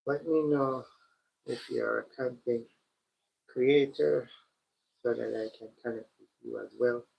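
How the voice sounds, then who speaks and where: A man hosting a live stream, talking slowly and directly to his chat, his voice congested and stuffy from a cold. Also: mid-range voice, fairly even intonation, vocal fry, a little hiss or hum. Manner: slow, repetitive, addresses the chat directly. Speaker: livestream host. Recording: dull lo-fi stream audio.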